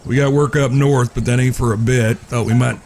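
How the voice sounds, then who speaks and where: An actor playing a gruff, protective eldest brother of Old West gunslingers, with a folksy American drawl and an authoritative way of talking. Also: male voice, mid-range voice, fairly even intonation, fairly quick, darkish tone, a little hiss or hum, lightly acted. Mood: calm, reasoning. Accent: American, Southern or Western drawl. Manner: authoritative, folksy, plain-spoken. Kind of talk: Western audio drama acting.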